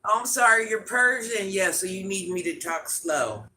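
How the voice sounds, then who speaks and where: A man with an itchy, scratchy throat, hosting a livestream in a grandmother persona and chatting through viewer comments with quick laughs and asides. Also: high voice, animated, pushed and bright. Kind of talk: casual live chat answering viewer comments.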